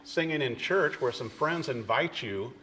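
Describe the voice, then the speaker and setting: A man's voice: mid-range voice, expressive, unhurried, sharp tone, poor audio. Chatty midwestern TV host man. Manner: chatty, affirming, plugs products. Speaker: TV show host. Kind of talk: interview chat.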